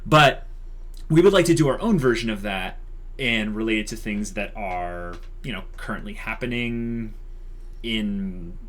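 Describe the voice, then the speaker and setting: A man's voice: lowish voice, unhurried, a bit bright. A young man co-hosting a channel announcement, speaking casually and conversationally with plenty of likes and you-knows. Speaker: channel co-host.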